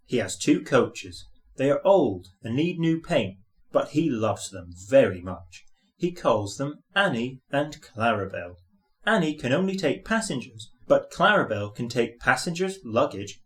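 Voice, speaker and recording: Unhurried, expressive male storybook narrator. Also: mid-range voice. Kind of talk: scripted children's story narration.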